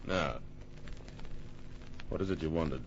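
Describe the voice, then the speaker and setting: An actor playing a suave, cold-blooded killer in an old radio crime drama, speaking politely but with deliberate menace, on an old muffled recording. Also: male voice, very deep voice, noisy background, exaggerated voice. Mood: smooth, guarded. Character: suave, cold-blooded murderous impostor twin with a gun.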